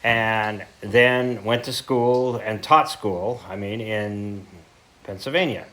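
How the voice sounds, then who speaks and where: A man in his seventies with an American accent, speaking informally as a heritage lecturer, telling his story reflectively with pauses and self-corrections. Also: lowish voice, a bit bright. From central Idaho, United States. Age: 70s. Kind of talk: informal talk to a local group.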